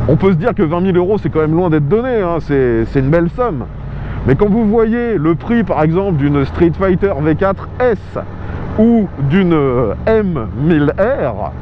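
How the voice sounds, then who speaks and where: A fairly high-voiced man talks through a motorcycle review as he rides, chatty and keen, with plenty of fillers. His helmet mic sounds muffled and dull. Steady engine and road noise runs underneath. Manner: chatty, enthusiastic, many fillers. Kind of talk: casual spoken vehicle review. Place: outdoors riding a motorcycle on rural roads.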